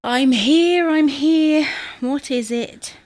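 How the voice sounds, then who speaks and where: A woman's voice: high-pitched, takes it slowly, darkish tone, a little hiss or hum. A woman playing a fussing, no-nonsense middle-aged wife in a domestic audio comedy, chiding and motherly in manner. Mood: exasperated.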